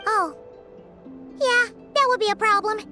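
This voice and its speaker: A woman voicing a tiny, excitable, childlike fairy companion in a video game, with squeaky, exclamatory delivery. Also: unhurried. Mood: curious, wistful. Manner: exclamatory, refers to self in third person. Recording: music behind the voice.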